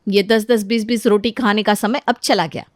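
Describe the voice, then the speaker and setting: A woman voicing a weary, practical elder sister-in-law in an animated family story, wry and complaining. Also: mid-range voice, moderate pace, dry recording, studio quality, natural voice.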